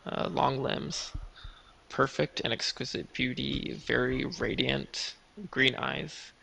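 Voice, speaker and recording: Man, gravelly, bright voice, improvised game narration, halting phrasing. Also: mid-range voice, level tone, low-quality recording. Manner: halting, with trailing, unfinished phrases. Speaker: game master.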